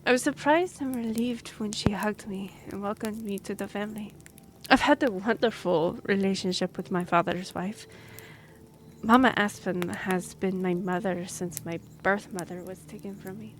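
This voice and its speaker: A woman's voice: medium pitch, normal speed, natural voice. A woman playing a friendly, earnest young half-elf royal messenger in a fantasy audio roleplay, speaking a little hesitantly. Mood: wistful, reminiscing. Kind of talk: fantasy audio roleplay, one-sided conversation. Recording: noisy track with background ambience.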